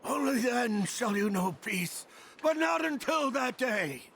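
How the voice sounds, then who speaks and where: High, bombastic giant warrior. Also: male voice, lively intonation, slow pace, creaky, a bit bright, slight background noise, exaggerated voice. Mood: defiant, grandiose. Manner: theatrical, archaic, booming declamation. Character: bombastic otherworldly giant warrior raging over stolen relics. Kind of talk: video game cutscene acting.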